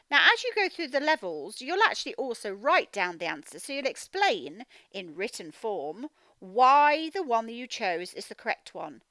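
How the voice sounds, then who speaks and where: Bright, animated high-voiced woman teaching children. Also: dry recording. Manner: animated, instructional, emphatic, sounds out words. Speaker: teacher reading a children's phonics story. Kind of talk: children's read-aloud lesson, explaining directly to young learners.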